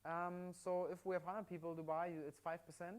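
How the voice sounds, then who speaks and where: A man's voice: high voice, flat delivery, brisk, clean tone. A man from Germany with a German accent, presenting products live in a chatty, step-by-step way. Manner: chatty, upbeat, explains step by step with frequent "yeah?" tags. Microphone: mic worn at his side.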